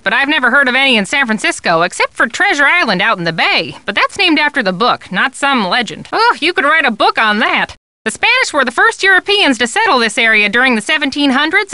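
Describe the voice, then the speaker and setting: An actress playing a chatty, wry American history expert in a video game. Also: female voice, high voice, sharp tone, no echo, full character voice. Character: chatty, wry woman who knows local history. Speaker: game voice actor. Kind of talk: conversational video game dialogue.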